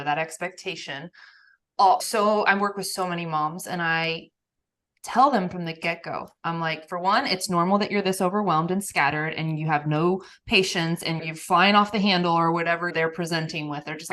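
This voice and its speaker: Female podcast host, lower register, animated and emphatic delivery. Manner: emphatic and animated, laughs often. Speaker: podcast host, nervous system coach. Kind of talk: casual podcast conversation.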